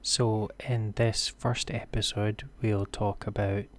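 Hesitant deep-voiced podcast host. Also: male voice, level tone, slow. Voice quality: unusually deep voice from a call glitch. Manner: hesitant, many fillers and restarts. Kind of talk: podcast intro and interview questions. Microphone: video call.

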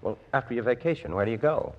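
A man's voice: medium pitch, expressive, fast talker, dull audio, close to an everyday voice. An actor playing an earnest, self-doubting young man in an old-time radio drama, with a hesitant, stammering delivery. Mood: tender, wistful. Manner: hesitant, stammering, repeating words. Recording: old radio recording, faint music behind.

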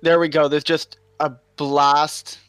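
Male game master, slow narrator, dry close sound. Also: a little high, little pitch movement, fairly bright tone, clear, resonant tone, no echo. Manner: drawn-out narration, frequent laughter.

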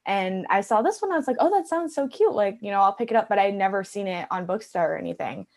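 A woman's voice: medium pitch, animated, fast, vocal fry, darkish tone. A bubbly woman hosting a podcast, chatting casually and laughing often. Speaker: podcast host. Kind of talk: casual chat.

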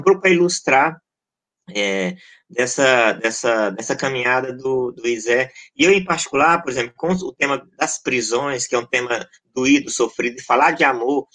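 A man's voice: medium pitch, sharp tone. A middle-aged Brazilian man, a pastor and social scientist, answering interview questions in an earnest, passionate way with emphatic lists and frequent restarts. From Brazil, born in Maranhão and raised in Goiás.

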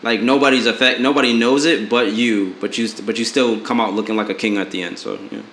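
A man in his late twenties with a low voice talks through relationship questions bluntly, rambling and restarting as he goes. His voice rings in an echoey room with a faint hiss behind it. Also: fairly even intonation, fast, a bit bright. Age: 20s. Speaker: podcast co-host. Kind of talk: casual advice chat.

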